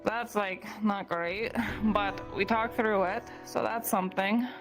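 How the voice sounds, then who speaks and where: A woman acts out a chatty young adventurer in a fantasy roleplay. She rambles casually in slangy modern speech at a relaxed, slow pace. Soft music plays faintly underneath. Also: medium pitch, lightly acted, poor audio. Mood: uneasy, earnest. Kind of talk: improvised in-character roleplay, casual chat.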